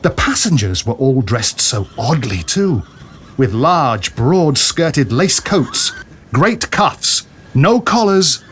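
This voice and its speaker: A man's voice: medium pitch, very animated, slow, a bit bright, a little hiss or hum. A man narrating a story dramatically from a script, as in an audio drama.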